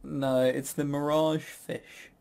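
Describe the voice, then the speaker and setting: A man's voice: on the high side, little pitch movement, slow, creaky, a little mellow, clear, resonant tone. A man hosting a casual quiz, chuckling and teasing as he banters. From a Western country. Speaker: quiz host.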